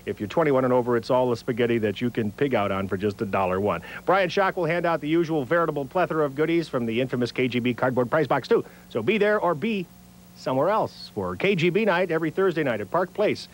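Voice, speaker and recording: A man's voice: medium pitch, lively intonation, fast, slight background noise. A middle-aged American man working as a radio DJ, talking in a casual, wry, easygoing patter. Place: small radio studio. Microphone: large studio mic on a boom arm.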